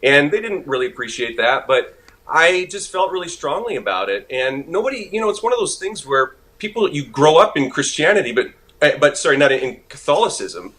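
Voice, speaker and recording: A man's voice: on the high side, very animated, fairly quick, fairly bright tone, a little hiss or hum. A man from Montreal whose first language is French, chatting as an interview guest and laughing easily. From Montreal, Canada. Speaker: guest actor. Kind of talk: casual interview chat.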